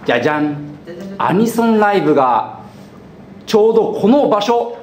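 A young man with a very high voice for a male presents a live show in a casual, upbeat way. His pitch jumps around a lot and he sounds animated and jokey. The room rings with echo around him. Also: normal speed. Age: young adult. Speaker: co-host of a weekly live web show. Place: small indoor studio with a group. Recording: roomy studio echo, faint noise, lo-fi stream.

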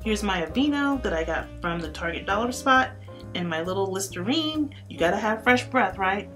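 A woman's voice: mid-range voice, expressive, normal speed, fairly bright tone, soft background music. A woman vlogger chatting upbeat to her viewers in a voice-over tour. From Florida. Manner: chatty and upbeat, addressing viewers as you guys. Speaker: lifestyle vlogger.

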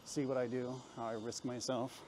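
Male travel vlogger, mid-pitched voice, self-mocking walk-and-talk, recorded outdoors with clear traffic noise. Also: level tone, normal speed, a little mellow. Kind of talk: casual walk-and-talk narration to camera. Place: busy city street, outdoors. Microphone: handheld camera while walking.

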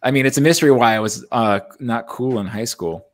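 A man in his thirties telling casual anecdotes in a self-deprecating, halting way with some swearing. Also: mid-range voice. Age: 30s. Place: indoors on a group video call.

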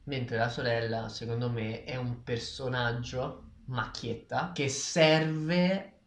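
A man's voice: mid-range voice, fairly even intonation, takes it slowly. Opinionated young man in an echoey kitchen. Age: 20s. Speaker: book reviewer. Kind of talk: casual discussion of a graphic novel. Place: small tiled kitchen, indoors. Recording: echoey room, camera at a distance.

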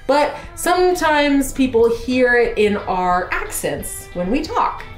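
A woman's voice: high-pitched, sing-song, slow. Woman, thirties, Oklahoma accent, chatty, laughs often, echoey room, faint music. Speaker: real estate video host. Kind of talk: casual chat.

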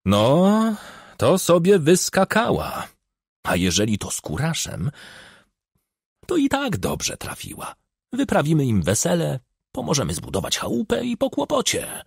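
A hard-working village father in an audiobook, played by a male narrator, blunt and practical. He sounds husky and earthy, with pitch that jumps widely. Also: huge pitch swings, a little slow, no room sound, studio quality, heavily acted. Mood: blunt, satisfied.

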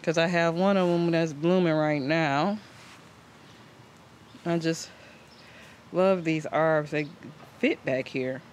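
A man's voice: a little high, steady tone, slow pace, pauses often. A man giving a casual, rambling, conversational garden tour as host, recorded outdoors on a handheld camera. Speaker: host of a home gardening channel. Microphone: handheld camera microphone. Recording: outdoor ambient noise on camera mic.

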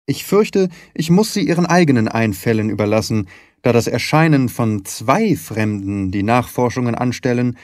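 A man voicing a shrewd, confident, courteous gentleman detective in an audiobook, formal, articulate and lightly patronising. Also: mid-range voice, no room sound, studio quality, close to an everyday voice. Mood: wry, courteous. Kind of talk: audiobook character reading.

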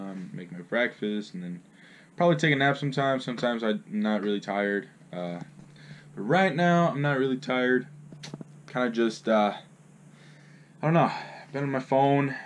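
A man's voice: fairly low pitch, slight background noise. A young man talking casually to a close action camera, rambling with lots of fillers and restarts, first in his bedroom and later while driving his car. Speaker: vlogger. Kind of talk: casual vlog talk to camera. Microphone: action camera held close.

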